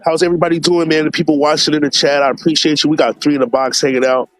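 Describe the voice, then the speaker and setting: A man hosting a casual live stream, talking in a relaxed, slangy way and sounding a bit stuffed up from allergies. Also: on the high side, racing, faint music behind, poor audio. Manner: laid-back, slangy, many fillers, greets chat viewers by name.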